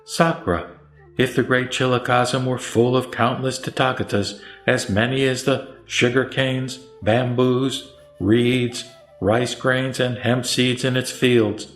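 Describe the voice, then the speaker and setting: A man plays a serene, authoritative Buddha teaching in a scripture audio drama. The mid-pitched voice is solemn and deliberate, set in a roomy echo with music beneath. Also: steady tone, fairly bright tone. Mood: serene, instructive. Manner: solemn, didactic, deliberate listing.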